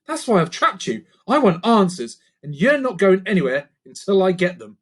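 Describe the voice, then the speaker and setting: A man voicing a determined young girl in a bedtime story, raising his voice high and earnest. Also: big pitch swings, normal speed.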